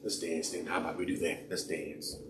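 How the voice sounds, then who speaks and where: A man playing a smooth-talking man courting a dance partner, in a slow, crooning, flirtatious murmur. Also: low voice, little pitch movement, noisy background, natural voice. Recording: echoey room, mic at a distance.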